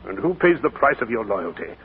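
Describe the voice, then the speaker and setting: An actor playing a frank old friend urging forgiveness, forthright and reproachful, in 1940s radio acting on an old broadcast recording. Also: male voice, mid-range voice, very animated, fairly quick. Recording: old AM broadcast, narrow and hissy.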